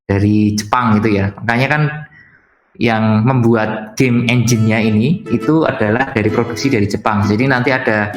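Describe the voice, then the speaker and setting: A man explaining things haltingly as the instructor of an informal online workshop. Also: medium pitch. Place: indoor room on an online video call. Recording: roomy echo, music underneath. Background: soft guitar music.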